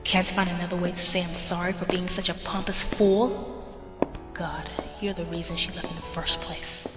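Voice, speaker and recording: Actress as dutiful aide, audio drama, careful delivery, lowish pitch, musical backing. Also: female voice, level tone, normal speed, over music, natural voice. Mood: bitter. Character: deferential female aide with hidden bitterness.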